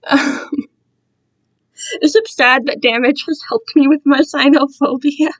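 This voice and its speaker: High-pitched young woman, rambling chattily. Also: lively intonation, slow. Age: young adult. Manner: self-deprecating, digressive, slangy. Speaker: livestreamer. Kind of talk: casual rambling chat to livestream viewers. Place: alone in own room.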